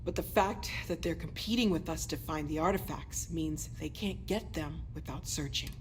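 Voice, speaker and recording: An actress playing a serious, practical woman explorer in a game cutscene, measured and matter-of-fact. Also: deep voice, slight background noise, lightly acted. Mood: serious, focused. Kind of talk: video game cutscene acting. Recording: faint music behind the voice.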